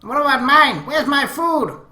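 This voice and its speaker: Guy putting on a whiny clingy anime little sister, high voice, bit echoey. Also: animated, unhurried, pushed and bright, exaggerated voice. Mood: whiny, indignant. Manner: whiny, demanding, refers to self by name. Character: bratty, clingy younger sister, teenage anime girl. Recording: roomy echo.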